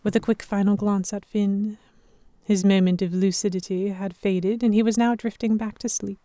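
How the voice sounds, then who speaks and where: A woman playing a restless, observant young heroine, narrating a pirate story in the first person in a measured, literary manner. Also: medium pitch, level tone, dark tone, no echo, natural voice. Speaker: narrator and lead actor.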